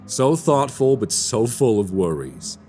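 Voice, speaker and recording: A man's voice: medium pitch, sing-song, unhurried, dark tone, soft background music. A man playing an affable, self-deprecating bachelor writer in a radio drama, with a wry, theatrical way of talking. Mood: warm, thoughtful.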